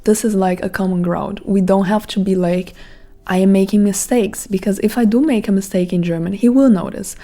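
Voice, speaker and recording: A woman with a Brazilian Portuguese accent speaks in a relaxed, mellow tone at a middle pitch. She muses aloud as a podcast host, wandering through her thoughts with plenty of "like" fillers. Her delivery is casual and reflective. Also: fairly quick. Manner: reflective, rambling with many "like" fillers. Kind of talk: casual monologue.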